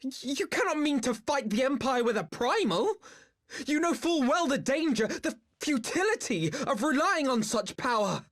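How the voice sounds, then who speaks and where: Earnest young noble, very expressive pitch. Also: female voice. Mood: alarmed. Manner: formal, grave, measured diction. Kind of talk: dramatic fantasy game voice acting.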